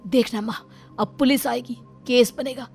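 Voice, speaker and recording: A woman voicing a reproachful young husband in an animated moral story, speaking firmly to his mother. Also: a little high, moderate pace, creaky, faint music behind, voice pushed up. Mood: angry accusation.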